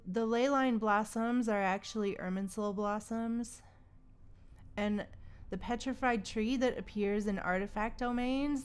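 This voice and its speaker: A woman's voice: mid-range voice, clear, resonant tone. A woman chatting casually on a gaming livestream, thinking aloud in an informal, musing way. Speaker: livestream host.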